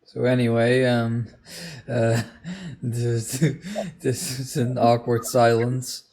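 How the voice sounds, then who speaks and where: A man's voice: medium pitch, little pitch movement, slow pace, a little mellow. Guy just chatting on a voice call, unscripted, kinda hesitant, repeats himself a lot. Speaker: video co-host. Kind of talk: casual unscripted online chat.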